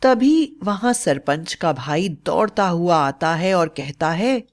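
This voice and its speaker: A woman's voice: lowish voice, lively intonation, slow. A woman narrator reading a scripted story.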